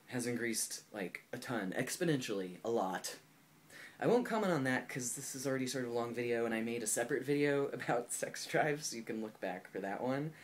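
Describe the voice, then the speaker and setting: Young man, 20s, vlogger. Casual, thoughtful to-camera talk full of fillers, mid-range voice. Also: mid-range voice. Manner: thoughtful and candid, heavy use of "like" and "um". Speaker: video blogger. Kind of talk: casual personal vlog talk.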